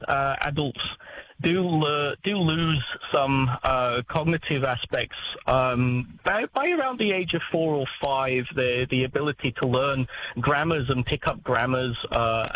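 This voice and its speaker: A man's voice: mid-range voice, a bit bright, highs cut off, a little hiss or hum. A man, a language expert speaking on a talkback radio show, explaining with many fillers and restarts.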